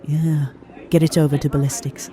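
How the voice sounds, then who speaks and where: An actor playing a brisk senior police detective in an audio drama, with a dry, pedantic manner. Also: male voice, a little high, level tone, crowd noise, lightly acted.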